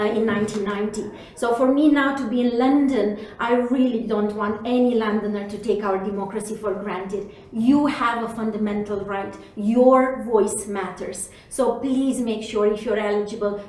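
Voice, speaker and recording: A woman from Romania speaks as a city official, earnest and emphatic as she urges people to take part. Her voice sits a little high and sounds quite far from the mic. The room around her is very echoey. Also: darkish tone. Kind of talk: interview answers.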